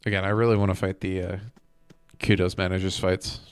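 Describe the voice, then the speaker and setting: A man streaming a video game, chatting casually and rambling as he thinks out loud. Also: sits low, flat delivery, fairly quick, smooth, no room sound. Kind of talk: casual live gameplay commentary. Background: game music.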